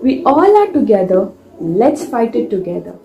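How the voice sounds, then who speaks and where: A woman student from India speaking in a lively, encouraging way. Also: mid-range voice, normal speed, gravelly, soft background music. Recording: echoey room.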